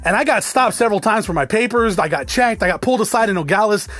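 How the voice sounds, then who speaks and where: A man rants to his viewers at a quick clip, his voice pitched fairly high for a man. He swears freely, restarts his sentences and circles back on the same points. The sound is close and dry, with no room around it. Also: expressive, a bit bright, no echo. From United States. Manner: rambling, profane, repeats himself. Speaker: vlogger. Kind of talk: casual rant to camera.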